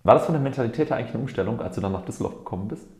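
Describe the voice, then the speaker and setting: Mellow guy doing a relaxed sports interview, chatty questions, mid pitch, roomy echo. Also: very fast. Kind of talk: casual conversational sports interview. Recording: roomy, echoing sound.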